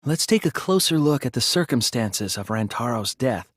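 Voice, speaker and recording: A teenage boy detective in video game dialogue. The voice is mid-pitched and slightly husky, recorded dry and close. He speaks softly and earnestly, laying out his reasoning with care and a hesitant edge. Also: lively intonation, normal speed, no echo. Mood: careful reasoning. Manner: earnest, careful explaining, hesitant.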